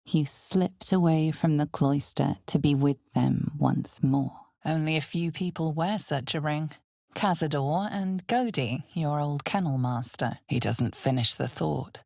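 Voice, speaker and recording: An actress voicing an ominous, knowing narrator in a dark fantasy video game, with measured, dramatic delivery that often sinks toward a whisper. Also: female voice, low voice, vocal fry, no echo, clean studio sound. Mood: dark, enticing, then grave, measured. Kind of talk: scripted second-person fantasy game narration.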